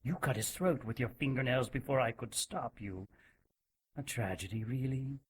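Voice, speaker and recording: An actor playing a cold, mocking villain sorcerer in an audio drama, theatrical and smug. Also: male voice, medium pitch, normal speed, slight background noise, natural voice. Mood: smug mockery. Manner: smug, mock-regretful, theatrical.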